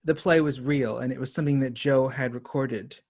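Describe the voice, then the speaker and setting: A man interviewing someone conversationally, laying out probing hypothetical questions in a deliberate way. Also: mid-range voice, steady tone, darkish tone.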